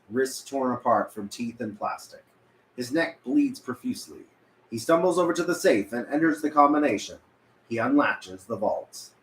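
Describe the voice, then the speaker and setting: A man reading scripted narration as narrator at a table read. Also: mid-range voice. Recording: echoey room, faint background noise.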